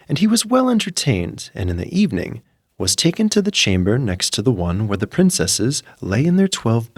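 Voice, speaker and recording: A man narrating a classic fairy tale from a script in a measured storyteller's manner. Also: on the low side, no room sound, studio quality.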